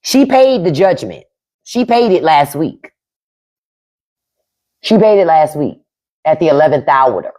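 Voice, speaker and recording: Blunt, profane woman with a lively voice. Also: deep voice, a little slow, pauses often. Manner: blunt, profane, emphatic, reads and answers chat. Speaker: podcast host on a live stream. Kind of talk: casual livestream commentary.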